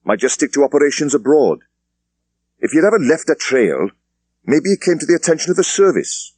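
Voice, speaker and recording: Man acting brisk senior British police superintendent. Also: on the high side, big pitch swings, darkish tone, breathy edge, voice pushed up. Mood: discreet, earnest. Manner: clipped, businesslike speech.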